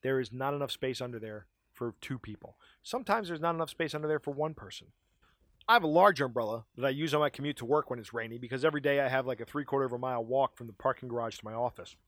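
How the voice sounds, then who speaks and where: A man from Maryland co-hosting a casual podcast, ranting in an animated way with frequent laughs, his voice starting to go. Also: mid-range voice, fast talker, no echo, studio quality. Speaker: podcast co-host.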